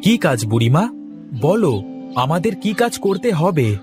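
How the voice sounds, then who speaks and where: A man's voice: on the high side, big pitch swings, measured pace, over music. A man voicing an earnest, clever poor village farmer in a cartoon folk tale, with an exclamatory, pleading way of talking.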